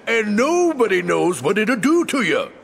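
A big cartoon bully of a cat, gravelly and pitched high for a male voice. He blusters and schemes in a folksy slangy drawl that swings wildly up and down, with music underneath. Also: sing-song, a little slow, slight background noise, heavily acted. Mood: blustering warning. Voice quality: gravelly, gruff. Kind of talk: animated video game voice acting.

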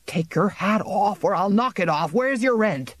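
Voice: medium pitch, big pitch swings, slow pace, no echo, voice pushed up. A reader voicing a fat, blustering male bailiff who bullies and bluster.

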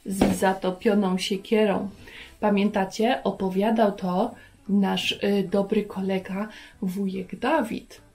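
A woman in her thirties telling stories to young children in an expressive way, often putting questions to her listeners. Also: medium pitch, takes it slowly, vocal fry. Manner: expressive, addresses viewers with questions. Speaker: host of a children's Bible lesson. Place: furnished room indoors.

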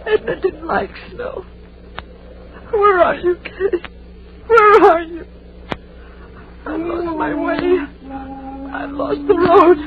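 High-voiced, pleading woman, frightened. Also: fairly even intonation, takes it slowly, long pauses, a loud crowd. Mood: distraught. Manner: pleading, repetitive, melodramatic. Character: frightened, pleading young woman, desperate and protective. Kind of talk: 1940s radio acting. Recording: muffled, hissy old-time radio recording.

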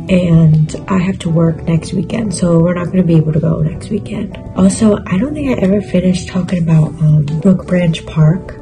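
Young woman vlogger, low-energy and chatty, phone mic in a small room, music bed. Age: young adult. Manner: relaxed, chatty, filler-heavy with "like" and "kinda". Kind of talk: casual vlog chat to camera. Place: bedroom, indoors, small. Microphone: phone camera at arm's length.

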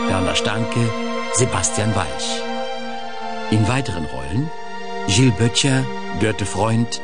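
Male announcer, scripted credits read, slightly deep, slow pace. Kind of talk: scripted radio drama credits. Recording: loud music behind the voice.

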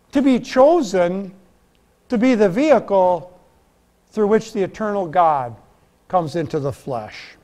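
A middle-aged American man preaching a sermon in church, deliberate and emphatic, in short phrases with pauses. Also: high-pitched, a little mellow. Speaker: Lutheran pastor. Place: church, indoors at a pulpit.

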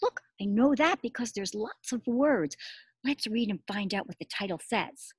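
A middle-aged woman, a preschool teacher, reads a story aloud expressively, pausing to explain simply and ask small children questions. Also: medium pitch, slightly rough, dry recording. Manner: expressive, addressing small children with simple words and emphatic repetition. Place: outdoors in a garden.

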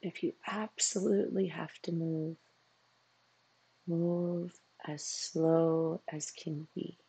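A meditation coach guiding a practice in a soothing way, with unhurried phrases, long pauses and a husky, gravelly voice. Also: medium pitch, flat delivery, warm tone, dry recording, poor audio. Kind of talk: guided meditation.

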